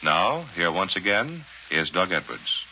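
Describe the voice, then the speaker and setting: American male, 1940s radio commercial announcer: low, very bright and punchy, dramatic, polished, on a dull vintage broadcast recording. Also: big pitch swings, a little slow, slight background noise. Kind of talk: 1940s radio advertising narration.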